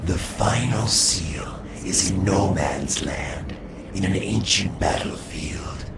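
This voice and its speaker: A man voicing a weary, mournful undead mage shade with solemn archaic speech in a fantasy game cutscene, gravelly and drawn-out. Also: low voice, heavily acted. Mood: ominous, resigned. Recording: loud noisy ambience.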